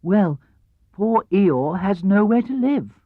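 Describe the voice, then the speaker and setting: A man voicing an amiable, slow-witted toy bear from a children's storybook, simple, deliberate and earnest. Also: high voice, expressive, no echo, narrow, tinny audio, pitched above the performer's own voice, exaggerated voice. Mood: thoughtful.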